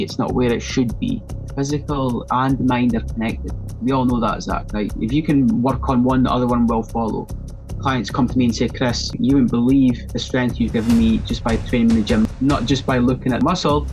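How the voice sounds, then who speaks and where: A man's voice: medium pitch, a little mellow, rough recording. A man from northeast Scotland with a Scottish accent, explaining things conversationally as a podcast guest and fitness trainer.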